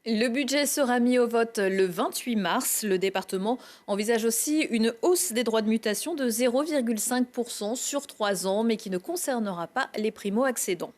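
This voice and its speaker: A woman presents a local news bulletin. Her voice sits a little high, and she delivers items as a studio anchor would. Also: normal speed, hardly any pauses, a little mellow.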